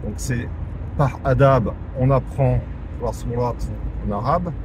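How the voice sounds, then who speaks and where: A man's voice: mid-range voice, takes it slowly. A French man giving an informal spoken religious lesson, talking conversationally with many fillers and restarts and repeating key points for emphasis. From France. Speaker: religious teacher. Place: in a car in traffic. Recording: audible traffic noise from the road.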